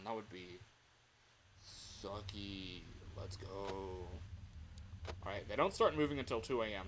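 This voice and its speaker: A man's voice: on the low side, background noise. A man doing casual, slangy gaming commentary as a livestreamer, with exasperated exclamations. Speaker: livestreamer playing a horror game.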